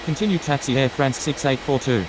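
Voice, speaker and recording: A man's voice: mid-range voice, fairly quick. Man on noisy airline radio. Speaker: airline pilot on air traffic radio. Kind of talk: scripted radio phraseology. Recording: loud noisy hiss.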